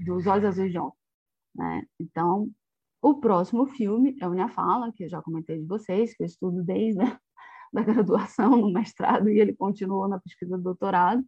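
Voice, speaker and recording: A woman's voice: mid-range voice, darkish tone, dry recording. Woman lecturing, Brazilian accent, dull dry audio. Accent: Brazilian Portuguese. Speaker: film researcher giving a presentation. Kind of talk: academic lecture with slides, partly read aloud.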